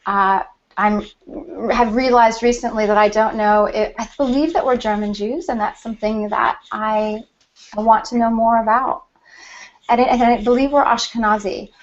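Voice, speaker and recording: A woman hosting a panel on a video call, excitable and laughing, talking in quick bursts. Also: fairly high pitch. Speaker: panel host. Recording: dull lo-fi video call audio.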